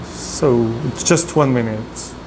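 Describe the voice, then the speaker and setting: A man's voice: mid-range voice, takes it slowly. A man giving a guest lecture, hesitant and full of fillers and restarts, in a room with air conditioning hum. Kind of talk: academic lecture.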